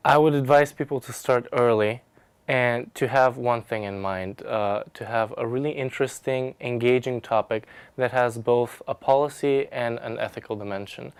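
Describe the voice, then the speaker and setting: Smooth-voiced young man, formal and academic. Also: medium pitch, no room sound. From Bulgaria. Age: young adult. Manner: articulate, formal academic wording. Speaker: research scholar, graduate student. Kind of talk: prepared personal testimonial to camera.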